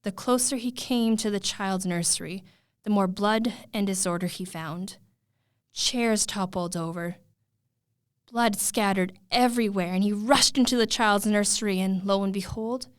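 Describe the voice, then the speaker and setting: Expressive woman storyteller, dry close sound. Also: mid-range voice, no echo. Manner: dramatic, expressive, with suspenseful pauses. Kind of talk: animated oral retelling of a fairy tale.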